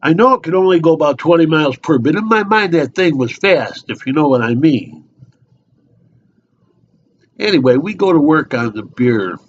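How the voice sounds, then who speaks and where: A man's voice: a little high, expressive, moderate pace, dull audio, voice pushed up. A man playing a talkative, oversharing small-town local at a bar in a rambling, eager dramatic monologue. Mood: excited, reminiscing.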